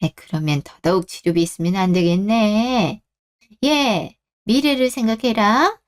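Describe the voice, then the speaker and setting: A woman's voice: medium pitch, unhurried, lightly acted. A woman voicing a callous older mother-in-law in a radio drama, with a haughty, reproachful, nagging delivery. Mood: pushy, scolding. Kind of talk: Korean radio-drama story acting.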